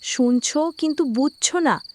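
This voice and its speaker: A woman's voice: sits high, measured pace, a little mellow, a little hiss or hum. A woman voicing a worried, scolding village wife and mother in a cartoon, pleading and complaining over hardship. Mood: anxious pleading. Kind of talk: dramatic cartoon voice acting.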